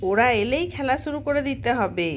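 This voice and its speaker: A woman's voice: high-pitched, animated, a little fast. A woman voicing an impatient young playmate who chatters and urges friends on in a doll-play story. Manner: chattering, urging, repetitive. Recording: faint background noise.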